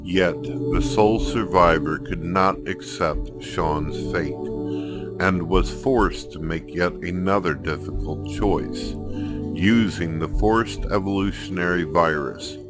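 A man delivering solemn scripted story narration in a grave, dramatic, measured manner. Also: sits low, over music.

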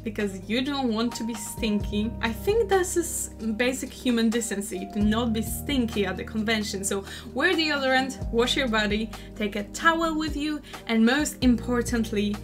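A woman's voice: fairly high pitch, normal speed. Chatty young Polish woman, music underneath. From Poland. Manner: chatty, animated, gestures a lot while talking. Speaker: cosplay vlogger. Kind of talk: casual advice vlog. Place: bedroom at home.

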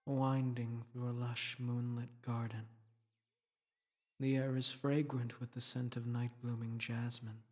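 Guy doing a solemn dream king for a sleep meditation, soft soothing voice, super slow, almost flat, gently protective. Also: medium pitch, lightly acted. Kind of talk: guided meditation, sleep-aid roleplay.

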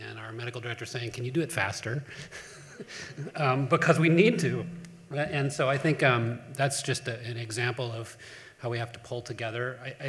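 A man on a conference panel, speaking haltingly with many fillers and restarts. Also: mid-range voice, fairly bright tone. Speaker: panelist on cell therapy operations. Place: large conference hall with audience. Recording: reverberant hall, faint hum.

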